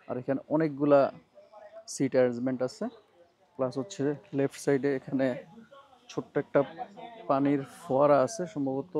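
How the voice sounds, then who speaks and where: A man's voice: medium pitch, warm tone, rough recording. A man with a Bangladeshi accent narrating a casual vlog informally, dropping English words into his talk. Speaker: vlogger.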